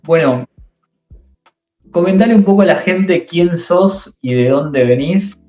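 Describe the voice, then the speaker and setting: A man's voice: fairly high pitch, slow pace, darkish tone, echoey room, faint music behind. A man hosting a casual interview, asking short prompting questions.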